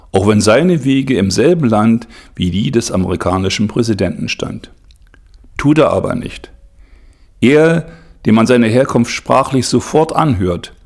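A man narrating a documentary report in a measured, formal way. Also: mid-range voice, darkish tone. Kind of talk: scripted narration.

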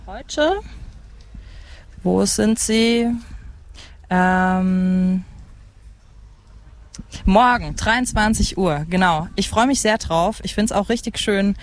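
An enthusiastic radio host in their thirties. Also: mid-range voice. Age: 30s. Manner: enthusiastic, hesitant searching for words. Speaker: radio host at a music festival. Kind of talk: casual live radio chat. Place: open lounge area at a festival site. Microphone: broadcast microphone.

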